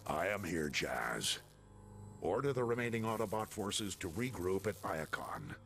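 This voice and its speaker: An actor voicing a noble robot leader, speaking in a steady, commanding, solemn way in a game. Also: male voice, low-pitched, measured pace, creaky, heavily acted. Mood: grave. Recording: game audio under loud music.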